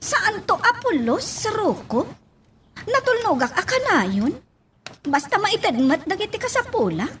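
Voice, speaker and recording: Woman as polite young female worker, very high pitch, animated intonation, radio drama, slight background noise. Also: measured pace, heavily acted. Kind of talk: Ilocano radio drama acting.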